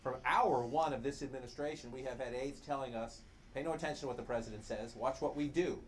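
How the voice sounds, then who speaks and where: A man's voice: mid-range voice, quick pace. A man anchoring a television news programme from a studio. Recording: TV broadcast with faint ambient noise.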